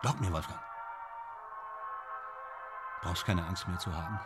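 Boastful stage actor, low theatrical voice. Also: male voice, fairly even intonation, a little slow, loud music behind the voice. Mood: dreamy, boastful. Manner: theatrical, self-dramatizing, in short fragments. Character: boastful, ambitious male stage actor dreaming of Hollywood stardom. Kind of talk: German radio drama acting.

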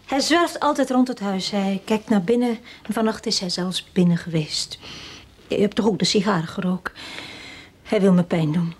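An actress in a radio play playing a frightened, haunted widow, fragile and intense, with an anxious, breathy manner on a noisy recording. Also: female voice, mid-range voice, normal speed, close to an everyday voice. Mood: fearful, eerie. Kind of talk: radio drama acting.

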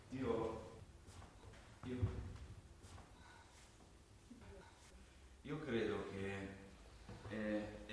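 An actor playing a flustered, conciliatory father in a stage drama, hesitant and apologetic, repeating himself. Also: on the low side. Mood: hesitant, careful. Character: flustered conciliatory father at a school meeting, pleading. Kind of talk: theatrical stage drama acting. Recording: echoey hall, distant mic, faint noise.

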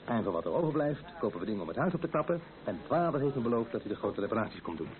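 A man's voice: medium pitch, big pitch swings, rapid-fire, narrow, tinny audio, close to an everyday voice. An actor playing a young husband and expectant father in a radio play, affectionate and eager, talking in an intimate, conversational way. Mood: eager, fond. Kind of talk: naturalistic radio play dialogue. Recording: thin old radio recording, music behind.

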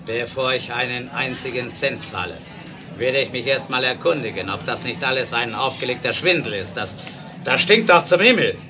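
A man's voice: medium pitch, animated, normal speed, lightly acted. Man acting a blustering railroad tycoon, noisy old recording. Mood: angry, defiant. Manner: blustering, emphatic, commanding. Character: hot-tempered, bullying male American railroad boss. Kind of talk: 1970s German audio drama acting. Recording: noisy 1970s radio play record.

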